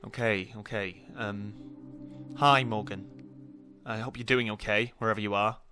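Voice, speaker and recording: A man's voice: on the low side, steady tone, moderate pace, sharp tone. A young man playing an awkward, grieving student in a naturalistic audio drama, hesitant and rambling with nervous jokes. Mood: awkward, tender. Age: young adult.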